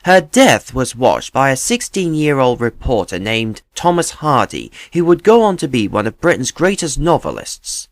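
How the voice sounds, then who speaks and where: A man narrating a true tale as scripted, dramatic storytelling in a measured, grave manner. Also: mid-range voice, big pitch swings, no echo, clean studio sound.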